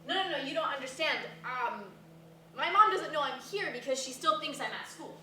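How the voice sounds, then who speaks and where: A woman acts out a stubborn, frantic granddaughter in a comic drama reading. Her voice is high and bright, and she rambles and hesitates. It is recorded in a heavily echoing room. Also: lively intonation, fairly quick, full character voice. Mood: anxious, evasive. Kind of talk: staged reading of a dramatic comedy. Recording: echoey room from far off, noisy.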